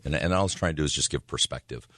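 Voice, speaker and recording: A man with a hoarse, raspy voice, talking casually as a real estate agent on a radio show, rambling with lots of fillers. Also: very deep voice, rapid-fire, fairly bright tone. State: hoarse from yelling.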